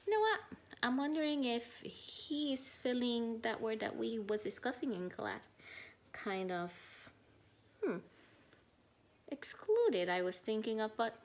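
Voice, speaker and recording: A woman teacher reading a children's storybook aloud, expressive and questioning, pausing to think out loud. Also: a little high.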